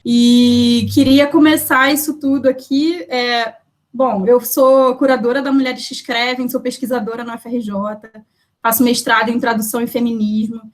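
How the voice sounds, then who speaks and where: A woman's voice: on the high side, little pitch movement, normal speed. A woman poet and researcher from Brazil introducing herself at an online poetry event, chatting from home over a video call with lots of fillers. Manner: chatty, warm thanks, many fillers.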